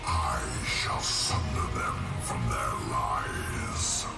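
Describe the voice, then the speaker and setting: A man voicing an undead iron warlord tyrant for a video game, menacing and grandiose, with a gravelly voice. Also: rumbling low, expressive, roomy sound, loud music behind the voice, full character voice. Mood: imperious menace. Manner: grandiose, slow, ominous proclamations. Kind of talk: video game villain voice lines.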